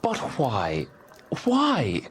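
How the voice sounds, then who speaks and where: A man playing a cheerful, warm-hearted young nephew in a dramatized audiobook, speaking earnestly and eloquently. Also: mid-range voice, huge pitch swings, extremely slow, slight background noise, exaggerated voice. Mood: pleading, good-natured.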